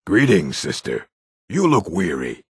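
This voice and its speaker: A burly, surly video game orc, speaks bluntly and with menace. The voice is extremely deep and husky, full of gravel, in a dry close recording. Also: male voice, huge pitch swings, a little slow, dry recording, exaggerated voice. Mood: sly. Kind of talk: scripted video game dialogue.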